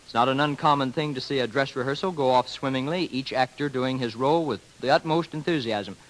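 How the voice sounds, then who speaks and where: A man's voice: mid-range voice, a little fast, rough recording. This is a man giving a semi-scripted interview on 1930s radio. He sounds formal and earnest, with a mid-range voice and long, wordy sentences. The recording has the sound of a dated broadcast. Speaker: guest expert on community theater.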